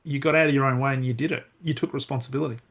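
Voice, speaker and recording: A man's voice: mid-range voice, fairly even intonation, very fast. A man hosting a podcast interview, agreeing with his guest and building on the guest's points. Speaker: podcast host.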